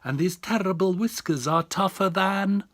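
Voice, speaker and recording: A man voicing a big, boastful Gruffalo father in a bedtime storybook reading, his voice sinking low and hushed at the ends of lines. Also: normal speed.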